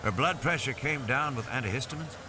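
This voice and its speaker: A man's voice: medium pitch, brisk, noisy background, pitched above the performer's own voice, natural voice. A man voicing a friendly, confident American field biologist who explains things with assurance. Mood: assured, easygoing.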